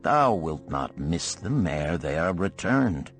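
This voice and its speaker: A man's voice: deep voice, very animated, slow pace, slightly rough, faint music behind, full character voice. A man voicing a sociable male musician of ancient Babylon in an audiobook, with elaborate, archaic, theatrical speech. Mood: cheerful, teasing. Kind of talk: audiobook character voice.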